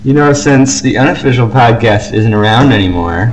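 A man's voice: medium pitch, animated, moderate pace, slight background noise, rough recording. A young man chatting casually as co-host of a fan podcast, on an amateur home recording.

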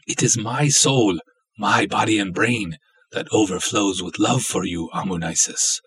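A man voicing an obsessive, lovestruck villainous cultist in a dramatic story reading, florid, theatrical and menacing, with a husky voice. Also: fairly low pitch, measured pace, a bit bright, no echo, exaggerated voice. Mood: ardent.

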